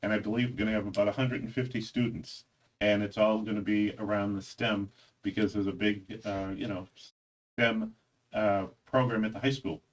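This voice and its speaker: A man hosts a casual interview, relaxed and loose with fillers and stumbles. His voice runs low. He sounds a bit distant from the microphone. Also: moderate pace. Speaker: host.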